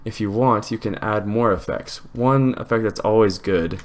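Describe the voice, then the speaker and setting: A man hosting a casual software tutorial, explaining informally step by step. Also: fairly low pitch, normal speed. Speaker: tutorial host.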